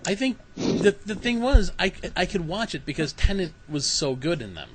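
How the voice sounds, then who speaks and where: A man hosting a casual podcast and chatting like an eager fan. His voice sits a little higher than most men's. A clear, steady hiss sits under everything. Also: normal speed. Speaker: podcast host. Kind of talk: casual chat.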